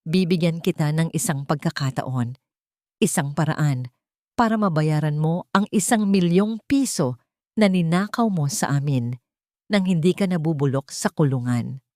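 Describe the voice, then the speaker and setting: A woman voicing a cruel, haughty matriarch, speaking in a menacing, commanding and measured way in an audio drama. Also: low-pitched, a little mellow, no room sound, studio quality, lightly acted. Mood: cold, condescending. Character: cruel, haughty matriarch passing a harsh sentence.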